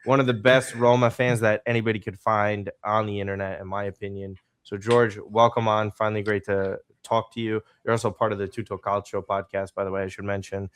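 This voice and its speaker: Low, smooth-voiced male podcast host. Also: brisk, few pauses, dry recording. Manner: energetic, rambling questions. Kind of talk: casual podcast interview.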